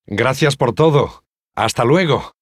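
An actor playing a courteous adult male teacher in a language-course dialogue, speaking slowly, clearly and politely. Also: medium pitch, expressive, no echo, studio quality. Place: dry studio.

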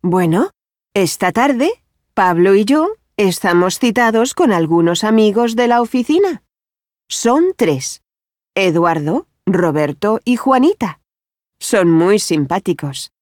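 An actress playing a friendly adult woman who works at a bank, speaking clearly and without hurry in language-course dialogues. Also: medium pitch, big pitch swings, slow, darkish tone, no room sound, studio quality. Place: dry studio.

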